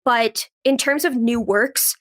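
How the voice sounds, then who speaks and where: A woman's voice: fairly high pitch, slow, a bit bright. A woman in her twenties with a cold, chatting casually in an interview and peppering her youthful, conversational talk with "like". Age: 20s. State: has a cold. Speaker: guest, actress and writer.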